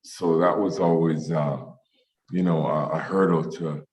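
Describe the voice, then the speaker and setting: Deep-voiced Jamaican-born potter, halting speech, frequent restarts in an interview. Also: male voice, steady tone, darkish tone, poor audio. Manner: halting, many fillers and restarts. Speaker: ceramic artist. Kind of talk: online meetup interview.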